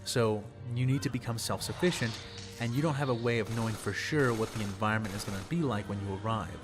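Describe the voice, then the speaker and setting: A young man, slightly hoarse, explaining fictional lore in a rambling, casual way and repeating words for emphasis. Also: on the low side, fast talker, over music. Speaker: channel host.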